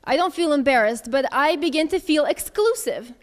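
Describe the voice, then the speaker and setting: A woman's voice: high voice, expressive, normal speed. A woman in her thirties answering audience questions in a candid, conversational way, thinking aloud as she goes. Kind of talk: casual Q&A talk.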